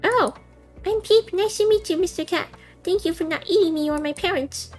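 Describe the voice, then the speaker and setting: A squeaky, trembling baby mouse, as a woman puts it on, timid and pleading. The voice sits very high above the reader's own and wavers as it begs. Faint music plays underneath. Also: normal speed. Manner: squeaky, tremulous and breathless.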